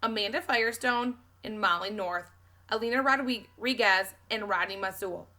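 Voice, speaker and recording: Woman acting a measured, formal male schoolteacher. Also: medium pitch, bright, forceful tone, soft background music, close to an everyday voice. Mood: formal, proud. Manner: formal, deliberate, dry. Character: measured, proud male schoolteacher with dry authority.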